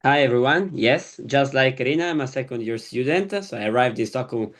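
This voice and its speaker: A young man with an Italian accent introducing himself as a student on a webinar. He sounds friendly and pauses hesitantly now and then. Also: medium pitch, expressive. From Italy. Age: young adult. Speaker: student panelist.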